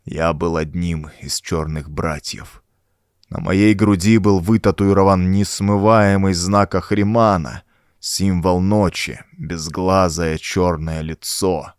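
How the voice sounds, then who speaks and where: A man's voice: sits low, fairly even intonation, slow pace, clean tone, no echo, clean studio sound. A man voicing a dying old former cultist, groaning out a desperate, laboured confession for a horror audiobook. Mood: grim, confessing. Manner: groaning, laboured, dramatic delivery. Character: dying old male renegade cultist confessing in dread and agony. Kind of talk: acted audiobook dialogue.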